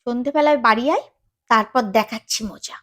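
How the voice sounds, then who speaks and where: A woman's voice: on the high side, normal speed, fairly bright tone, natural voice. A woman playing a young woman in an audio love story, giving a teasing, playful threat.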